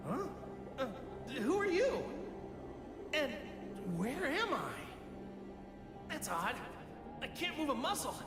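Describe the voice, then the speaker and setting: A man's voice: very high voice, wildly swooping pitch, slow, pauses often, exaggerated voice. A man voicing a naive, easygoing martial-arts hero in an anime video game, folksy and bewildered, with a reverb effect. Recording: heavy echoing reverb, loud music behind.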